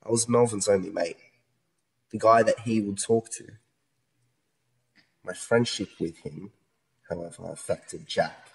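A man's voice: fairly low pitch, measured pace, creaky, pauses often, husky, full character voice, rough recording. A man acting in a modern radio play as a distressed, earnest young man pleading his innocence over a split personality. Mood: subdued, sorrowful.

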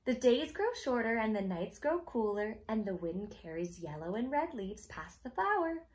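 A young adult woman reading a picture book aloud to children as a storytime host, in an expressive manner that speaks directly to young listeners. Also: a little high, normal speed. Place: small room at home, indoors.

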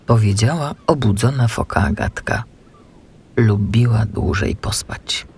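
A woman's voice: a bass voice, a little slow, darkish tone, husky. A woman narrating a scripted children's story as its storyteller.

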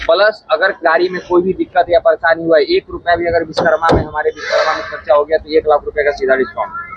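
A man, a used car dealer giving an insistent sales pitch outdoors on a car lot. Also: level tone, highs cut off, background noise. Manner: fast, insistent sales patter with filler phrases.

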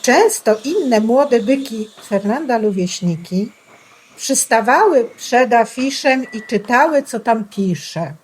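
A woman's voice: fairly high pitch, expressive, slow, husky, low-quality recording. A woman announcing and narrating a scripted children's storybook play.

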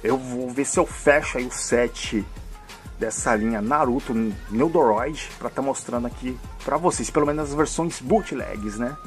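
A man's voice: medium pitch, normal speed, soft background music. A man with a mixed São Paulo and Rio accent, chatting through a casual toy review, full of tag questions and cheerful asides. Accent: mixed São Paulo and Rio de Janeiro Brazilian Portuguese. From Brazil. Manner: chatty, fills with "né?" and "hein?", enthusiastic.

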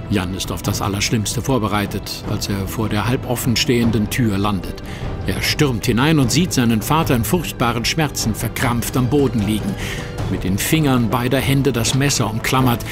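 Male audio drama narrator over music. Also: medium pitch. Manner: steady, dramatic storytelling.

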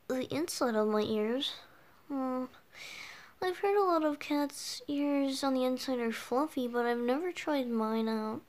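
A woman voicing a flustered, bashful boyish bard with cat ears in an ASMR roleplay, halting and stammering as the character talks to the listener. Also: high voice, darkish tone. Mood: flustered, ticklish. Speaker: audio roleplay performer.

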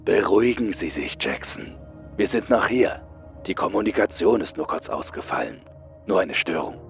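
A man's voice: low voice, animated, normal speed, vocal fry, faint music behind, voice pushed up. A man voicing an authoritative male astronaut commander in a horror audiobook, giving urgent, clipped orders. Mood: firm, calming. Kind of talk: dramatised horror audiobook dialogue.

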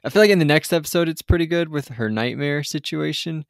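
A man's voice: a little high, quick pace, creaky, no room sound. Man chatting casually, dry close sound. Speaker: podcast co-host. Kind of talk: casual film and TV recap chat.

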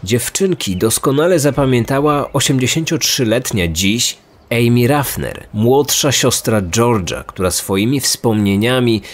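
A man's voice: mid-range voice, expressive, measured pace. A man reading a scripted true-crime narration. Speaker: podcast narrator. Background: background music.

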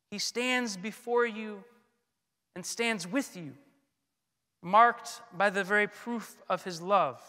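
A man in his thirties, a priest, delivering a scripted sermon in a solemn, deliberate manner with frequent pauses. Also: sits high, pushed and bright. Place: large church, indoors at a pulpit. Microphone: gooseneck pulpit microphone. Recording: long church reverb.

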